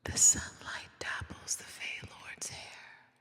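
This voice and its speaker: Female ASMR narrator: whispered, unhurried, crisp bright edge, close binaural mic in a reverberant wash. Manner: slow, hushed, deliberate delivery with careful pauses. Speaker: ASMR storyteller. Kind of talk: whispered, soft-spoken ASMR storytelling. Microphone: close binaural microphone. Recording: long reverb over music bed. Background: music.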